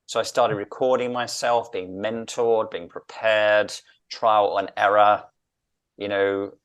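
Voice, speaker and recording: Middle-aged English male coach, low voice, southern British accent, reflective and emphatic interview delivery. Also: level tone, takes it slowly, clear, resonant tone. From London, England. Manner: earnest, emphatic, repeats phrases for effect. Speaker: interview guest, executive coach.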